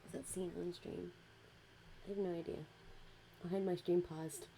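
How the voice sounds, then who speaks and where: A young woman hosting a casual streamed podcast. She giggles often between words, and her voice is worn from recent shouting. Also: lowish voice, flat delivery, moderate pace, gravelly, slight background noise, low-quality recording. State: losing her voice. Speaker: podcast host and VTuber.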